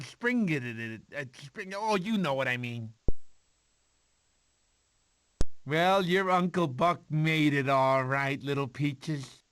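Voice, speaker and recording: A man's voice: a little high, bright, forceful tone, full character voice. A man voicing a goofy, boastful, doting cartoon sloth uncle who tells bedtime stories theatrically and stumbles over his words. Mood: flustered, boastful. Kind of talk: animated film voice acting, bedtime storytelling.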